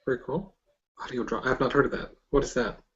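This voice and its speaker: Male podcast-style interviewer, mid-pitched, conversational and tentative, muffled lo-fi audio. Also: steady tone, brisk, fairly bright tone. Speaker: interviewer, host. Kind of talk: casual interview talk.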